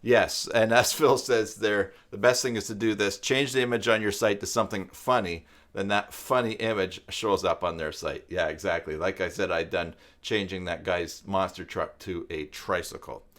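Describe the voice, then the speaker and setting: A man, chatting casually as a live stream host in a halting, rambling way. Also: low voice, steady tone, a bit bright. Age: 50s. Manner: rambling, halting, conversational, thinking aloud. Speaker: live stream host, web tutorial presenter.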